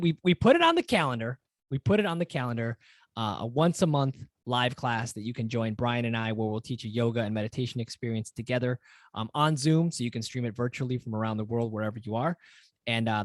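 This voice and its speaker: A man in his thirties chats in an upbeat way on a podcast video call, laughing easily and filling gaps with "um" and "you know." His voice is mid-pitched. It is picked up by a close desk mic in a small home room, with a dry sound. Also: no room sound. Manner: upbeat, laughs often, many fillers. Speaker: podcast co-host. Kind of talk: casual podcast chat. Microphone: desk mic on boom arm, video call.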